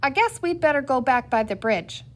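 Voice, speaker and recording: A woman's voice: sits high, sing-song, moderate pace. A woman voicing a plucky, mischievous young girl adventurer in a children's story, eager and commanding. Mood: scolding.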